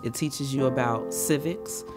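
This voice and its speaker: A middle-aged man talks as a parent in an interview. His voice is of medium pitch, with clear guitar music behind it. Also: a little slow. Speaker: parent giving testimonial. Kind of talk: interview testimonial. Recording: guitar music bed under the voice.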